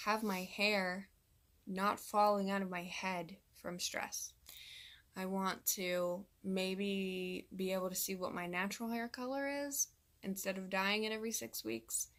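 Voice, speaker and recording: A woman's voice: medium pitch, fairly bright tone. A woman in her thirties, a mom vlogger, talking straight to camera in a candid, exasperated way. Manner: exasperated, candid venting to viewers. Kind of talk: direct-to-camera vlog monologue.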